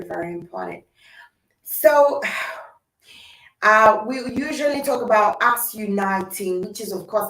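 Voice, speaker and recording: A woman's voice: mid-range voice, far from the mic. A young woman, earnestly hosting a casual livestream and speaking directly to her viewers. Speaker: livestream host. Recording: roomy sound from away from the mic.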